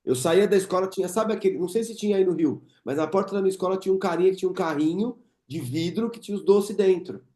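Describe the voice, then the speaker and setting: A man's voice: high-pitched, quick pace, few pauses, mellow. A man in his thirties from Brazil hosting a podcast, chatting casually and reminiscing with his guest. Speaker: podcast host. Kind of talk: casual interview chat.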